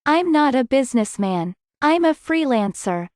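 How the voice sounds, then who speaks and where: A woman reading scripted English lesson dialogue, slowly and clearly, in carefully spaced sentences. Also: high-pitched, very animated, darkish tone, no room sound, studio quality.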